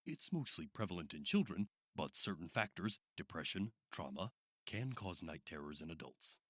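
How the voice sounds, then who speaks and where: A man playing a calm, persuasive therapist in a radio drama, reassuring with a condescending edge. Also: sits low, normal speed, dry recording, clean studio sound, full character voice. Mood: clinical, reassuring. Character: calm, persuasive male therapist at sinister institute. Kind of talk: modern radio drama acting.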